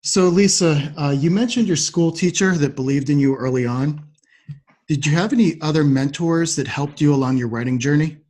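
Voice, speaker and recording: A man's voice: fairly high pitch, normal speed, a little mellow. A man hosting an interview podcast, politely affirming his guest and putting questions in a conversational way. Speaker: podcast host.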